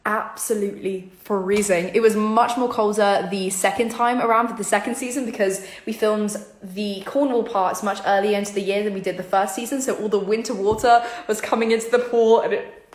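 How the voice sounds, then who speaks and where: A woman's voice: mid-range voice, echoey room. A teenage actress with a mostly British accent that sometimes slips American, chatting casually as she answers fan questions at home. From born in New York, raised in London. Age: teens. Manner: chatty, repeats "really, really", light giggles. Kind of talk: casual vlog Q&A. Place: her home kitchen, indoors.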